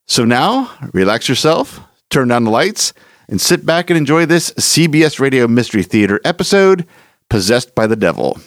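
A man's voice: medium pitch, big pitch swings, normal speed, no echo, clean studio sound. A man hosting a podcast, introducing an old radio episode in a friendly, explanatory way. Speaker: podcast host.

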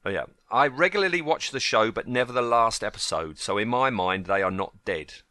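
A man's voice: fairly low pitch, expressive, a little fast, pushed and bright, no room sound. A man co-hosting a fan podcast in casual chat, reading out a listener's letter and often asking his partner to agree. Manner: chatty, often asks his co-host for agreement. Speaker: podcast co-host.

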